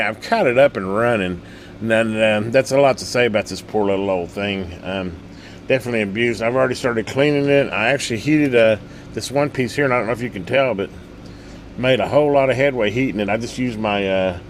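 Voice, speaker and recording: Folksy, low-voiced man over steady noise. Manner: folksy, colloquial, rambling. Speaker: radio repair hobbyist and channel host. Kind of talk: casual workbench demonstration, rambling. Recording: steady background hum and noise.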